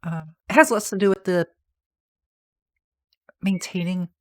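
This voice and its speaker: A man with a soft, feminine-sounding voice, chatting casually on a livestream and answering viewer messages in a rambling, soft-spoken way. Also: high voice, a little slow. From Canada. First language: English. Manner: soft-spoken, rambling, with restarts and small laughs. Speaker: streamer.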